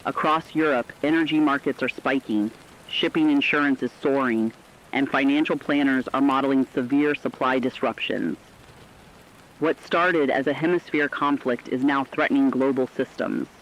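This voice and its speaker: A man's voice: medium pitch, moderate pace, highs cut off, slight background noise, lightly acted. A man playing a serious radio war analyst, giving scripted emergency news commentary in a formal, emphatic way. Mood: serious, urgent.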